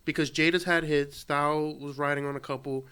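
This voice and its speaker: A man talks over his co-host as he argues rap rankings in a brash, profane way. His voice sits a little high and sounds smooth and resonant. It is loose, casual podcast chatter. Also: steady tone, brisk, bright, forceful tone. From Puerto Rican or Dominican heritage. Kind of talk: casual hip hop debate.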